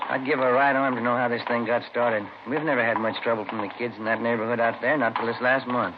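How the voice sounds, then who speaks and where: An actor playing a plainspoken, grumbling American police detective in casual 1950s radio drama dialogue. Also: male voice, mid-range voice, level tone, fast talker, close to an everyday voice. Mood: casual, disgusted. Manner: casual, colloquial. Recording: very noisy, muffled old radio recording.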